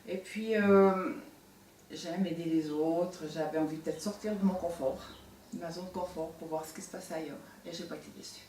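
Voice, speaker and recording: A woman's voice: on the low side, steady tone, moderate pace, a little mellow. A woman in her sixties speaks in a testimonial interview. Her voice is picked up from a distance in an echoey room. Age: 60s. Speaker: nursing assistant, volunteer. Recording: roomy, distant mic, faint noise.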